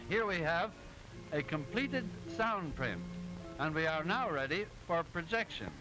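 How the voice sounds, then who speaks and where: A man's voice: fairly high pitch, lively intonation, rough recording. A man playing a genial professor who narrates an educational 1920s cartoon in a didactic, precise way. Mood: explaining. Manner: didactic, precise, measured explaining. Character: genial male professor explaining machines in a cartoon. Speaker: narrator, lecturer. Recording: old 1920s film soundtrack, music behind.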